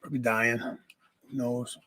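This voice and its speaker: A man with a raspy voice hosting a casual livestream from home, greeting viewers by name and chatting. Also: mid-range voice, little pitch movement, a little slow, sharp tone, poor audio. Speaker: livestream host.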